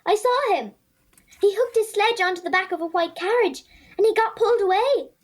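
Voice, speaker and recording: A woman's voice: extremely high pitch, lively intonation, fairly quick. A female performer playing an earnest, worried young Irish schoolgirl, plaintive and sincere, in a children's audio drama. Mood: anxious.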